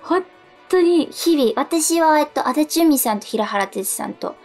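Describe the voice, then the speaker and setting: A woman's voice: sits high, animated, a little slow, darkish tone, soft background music. A woman co-hosting a radio show, chatting casually but politely. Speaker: radio show co-host, stage actress.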